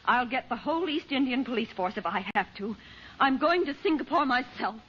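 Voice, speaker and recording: A woman's voice: sits high, lively intonation, fairly quick. An actress playing a worried, strong-willed mother with emphatic, dramatic delivery in an old-time radio serial. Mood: exasperated. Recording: muffled, faintly noisy old radio recording.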